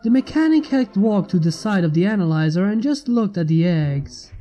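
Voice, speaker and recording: Male fiction reader, dark mellow tone, scripted narration over a music bed. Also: sits high, animated, normal speed. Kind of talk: scripted story narration with character voices. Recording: music under the voice. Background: background music.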